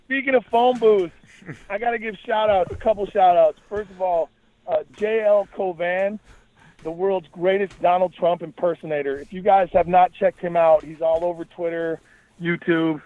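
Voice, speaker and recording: A man's voice: high voice, fairly even intonation, moderate pace, no room sound, narrow, tinny audio. A man appearing as a guest rapper and podcaster, moving between freestyle rap and casual promotional talk.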